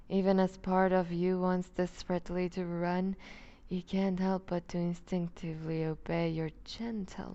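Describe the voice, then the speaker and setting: A woman playing a seductive, possessive vampire duchess in an ASMR roleplay, speaking with deliberate, teasing condescension and breaking into whispers. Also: on the low side, mellow, dull audio. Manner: slow, deliberate, purring condescension with whispered phrases. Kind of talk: ASMR roleplay audio drama.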